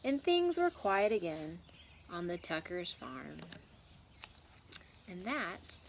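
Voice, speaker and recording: A woman's voice: on the low side, very animated, slow pace. Instructive woman reading to children, faint noise. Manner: instructive, question-and-answer, checking understanding. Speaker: teacher reading aloud. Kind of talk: children's read-aloud and discussion.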